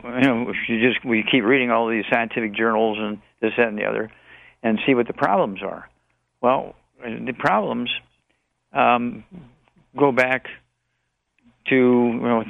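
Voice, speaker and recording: A man in his eighties with a creaky voice over a narrow phone line. He is a radio guest promoting health remedies, rambling along in repetitive, list-like answers. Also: medium pitch, dry recording. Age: 80s. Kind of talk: radio call-in question and answer.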